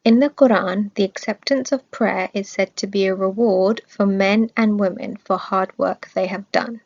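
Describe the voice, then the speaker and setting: A woman of medium pitch reads scripted religious narration. She phrases things in a measured, orderly way. The recording is a little dull and lacking in top end. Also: steady tone, darkish tone. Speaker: reader of religious study text.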